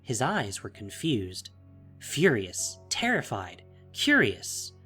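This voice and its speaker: A man's voice: medium pitch, huge pitch swings, takes it slowly, bright, forceful tone, soft background music, full character voice. A man narrating a fantasy audio drama in a dramatic, scripted style.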